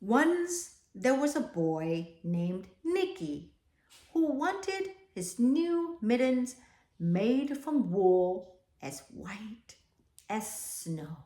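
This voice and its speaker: Lady doing kids read-aloud, high lively voice, asks lots of questions, roomy echo. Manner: slow, expressive, asks the listeners questions. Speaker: storyteller reading a picture book aloud to children. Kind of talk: children's read-aloud, alternating slow reading with asides about the pictures. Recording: echoey, roomy sound.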